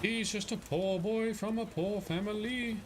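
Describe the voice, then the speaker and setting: A man's voice: high-pitched, fairly even intonation, measured pace, noisy background. A man giving casual, chatty commentary on a livestream while playing a game and talking to his viewers.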